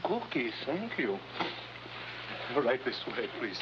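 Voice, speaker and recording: An actor playing a sly, courtly, self-serving informant, mock-polite and theatrical, in a vintage TV adventure. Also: male voice, mid-range voice, sing-song, slow. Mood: oily, genial. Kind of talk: 1950s TV adventure acting. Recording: muffled old TV soundtrack with noise.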